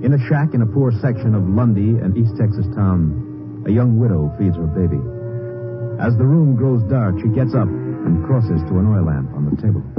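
A man with an American accent narrating a 1950s radio drama in a measured, dramatic way. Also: lowish voice, lively intonation. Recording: muffled 1950s radio recording. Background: loud dramatic music.

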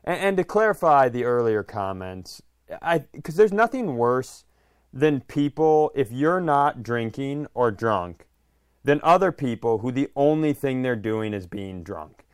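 A man's voice: medium pitch, animated, unhurried, clean tone, no room sound, clean studio sound. A man bantering casually as a comedy podcast host, with a crude, sardonic way of riffing.

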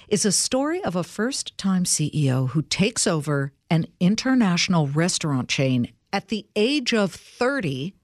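Chatty woman podcast host, low voice. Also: no echo, clean studio sound. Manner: chatty and effusive. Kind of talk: casual interview chat.

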